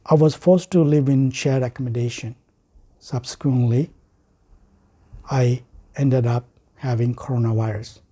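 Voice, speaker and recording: Male orator, Sri Lankan accent, very mellow dark tone, formal and deliberate. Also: mid-range voice, steady tone, slightly rough. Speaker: writer and speaker. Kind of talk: prepared speech.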